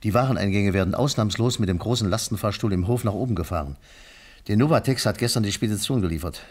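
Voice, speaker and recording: Precise low-voiced male warehouse manager, acted. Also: quick pace, a little mellow, natural voice. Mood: factual. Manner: formal, exact, insistent. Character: precise, self-assured male warehouse manager giving a report. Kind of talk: German radio crime drama acting.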